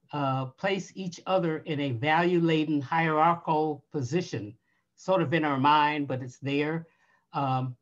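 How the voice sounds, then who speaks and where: An older woman speaks from her bookshelf-lined room at home, her voice a little worn and hoarse. She takes her time, filling gaps with soft hesitations. The video-call audio is muffled and lo-fi. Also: low voice. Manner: unhurried, thoughtful, frequent "uh" and "um" pauses. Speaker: book reviewer. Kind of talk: library book talk over video call. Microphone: video call webcam.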